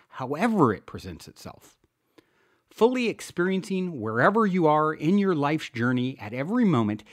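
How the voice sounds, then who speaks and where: A man reading a self-help book aloud as scripted narration, with emphatic, deliberate phrasing and drawn-out key words. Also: fairly high pitch, no room sound, studio quality. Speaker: podcast host and author reading own book. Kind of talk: scripted audiobook-style narration.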